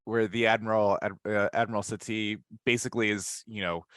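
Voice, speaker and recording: A man's voice: fairly low pitch, steady tone, a little fast, a bit bright, no echo, studio quality. A man on a podcast panel, talking casually and bluntly as he retells and argues his points.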